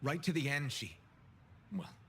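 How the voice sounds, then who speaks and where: An actor playing a wry, steady freelance pilot hero in a video game, talking in an understated, dry way. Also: male voice, fairly high pitch, measured pace, husky, heavily acted. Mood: earnest, wry. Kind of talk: conversational video game voice acting.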